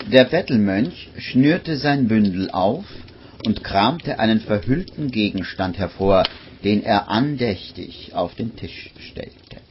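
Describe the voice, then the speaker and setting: Slow, low male narrator, muffled recording. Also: animated. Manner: measured storyteller. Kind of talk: scripted radio drama narration, 1950s. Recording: muffled vintage recording, faint noise.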